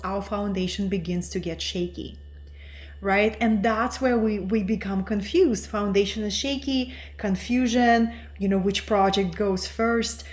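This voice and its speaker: A middle-aged woman from Russia, whose first language is Russian, speaking as an interview guest in an earnest, measured, teacherly way. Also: mid-range voice. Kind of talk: reflective interview talk.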